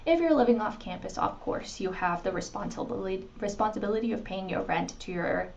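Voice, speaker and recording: A woman presenting to new international students in a casual, conversational way, pausing with ums and restarting her sentences. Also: medium pitch, creaky, darkish tone.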